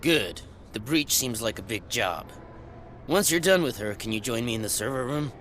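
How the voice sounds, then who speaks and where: An actor playing a gruff, irritable security guard in a video game, blunt and grumbling with a sarcastic streak. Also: male voice, medium pitch, lively intonation, moderate pace, a bit bright, a little hiss or hum, natural voice. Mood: businesslike.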